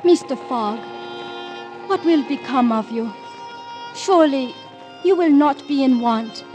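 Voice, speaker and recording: A gentle young noblewoman in love in a 1940s radio play. Her voice sits high, formal and earnest with tender devotion. A violin plays faintly behind her. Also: measured pace, highs cut off, soft background music. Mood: tender concern.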